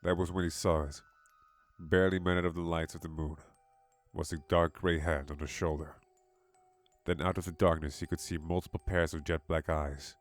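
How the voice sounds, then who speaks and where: A man's voice: low voice, little pitch movement, moderate pace. A man giving a dramatic scripted narration of a horror story. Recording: music behind the voice.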